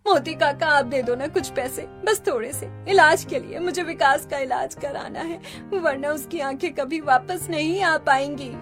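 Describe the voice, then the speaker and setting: A woman's voice: sits high, unhurried, a bit bright, soft background music. A woman voicing a distraught, pleading poor village wife in a Hindi cartoon story. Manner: pleading, tearful.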